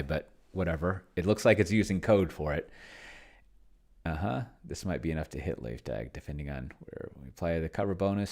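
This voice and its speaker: A man giving casual think-aloud commentary on a game, musing to himself and trailing off. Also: sits low. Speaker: solo tabletop game player and demonstrator.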